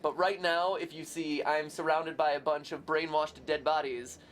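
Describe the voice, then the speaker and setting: A young man hosting a casual game livestream, goofy and excitable, breaking into shouts and playful sing-song bits. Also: fairly high pitch, moderate pace, slight background noise. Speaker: host. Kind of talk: casual let's-play chat.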